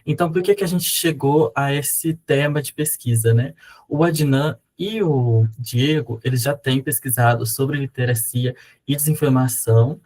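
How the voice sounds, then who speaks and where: A man's voice: mid-range voice, normal speed, a little mellow. A young man from Brazil, a university student, presenting research over a video call in an explanatory way with some fillers. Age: young adult. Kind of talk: conference presentation.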